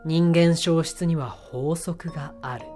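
A woman's voice: low-pitched, slow pace, dark tone, faint music behind. A woman playing a self-assured, flamboyant man who talks in assertive, theatrical feminine phrasing in a voice drama. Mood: confident, conspiratorial. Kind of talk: amateur voice drama acting.

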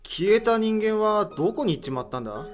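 Man playing a high-voiced, skeptical reluctant sidekick. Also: sing-song, brisk, faint music behind. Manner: casual, questioning, sardonic. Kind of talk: audio drama acting.

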